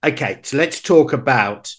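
A man hosting a podcast interview, prompting his guest with brisk questions. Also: medium pitch, animated. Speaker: podcast host.

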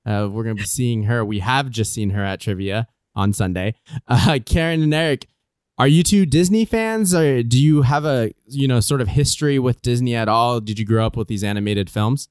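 A man's voice: medium pitch, moderate pace, clear, resonant tone, no room sound, clean studio sound. A man hosting a casual podcast from a guest room at home, chatty and upbeat, teasing his guests. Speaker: podcast host.

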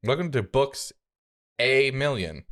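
An American man co-hosting a podcast in casual comedic chat, playful and quick to laugh. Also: medium pitch, expressive, a bit bright. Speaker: podcast co-host.

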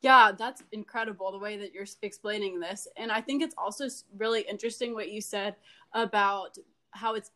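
Fast-talking woman podcast host. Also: medium pitch, fairly bright tone. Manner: affirming, long run-on sentences. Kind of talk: casual podcast interview talk.

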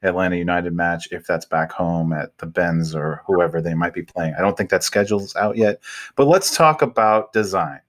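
A man hosting a podcast, talking in a relaxed, conversational way and steering the topics. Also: low-pitched, normal speed. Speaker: podcast host. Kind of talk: casual chat.

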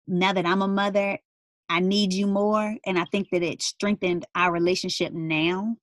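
A young woman in casual conversation with her mother, talking thoughtfully with many pauses and fillers. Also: medium pitch, steady tone, dry recording, clean studio sound. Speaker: daughter interviewing her mother.

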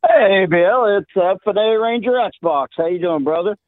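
A man's voice: high-pitched, animated, moderate pace. Chatty Southern man on a phone line. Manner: chatty storyteller, laughs often. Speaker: caller. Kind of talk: call-in show chat.

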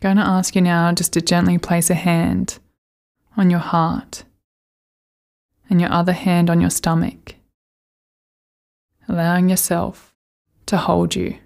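A woman speaks as a meditation guide in a fairly low register with a noticeable creak. Her intonation stays flat and steady. She gives soothing, gentle instructions, leaving unhurried pauses between phrases.

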